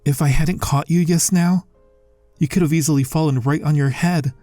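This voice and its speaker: Man acting a soft, mellow, restrained bodyguard assassin. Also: a little high, steady tone, normal speed, faint music behind, natural voice. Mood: alarmed, scolding. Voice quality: soft, gentle voice. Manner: restrained, dry, inner monologue and courteous address. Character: secret assassin posing as a polite, exasperated bodyguard. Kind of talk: ASMR roleplay audio acting.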